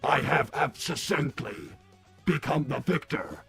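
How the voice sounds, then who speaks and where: A man voicing an earnest, polite robot on a comedic livestream, speaking formally and haltingly with repeated words. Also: mid-range voice, lively intonation, vocal fry, sharp tone, slightly rough, faint music behind, full character voice.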